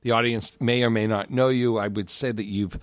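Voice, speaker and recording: A man's voice: on the low side, steady tone, a little fast, dry recording. A man hosting a radio talk show, reading a scripted promotional intro and then affirming his guest as he interviews him.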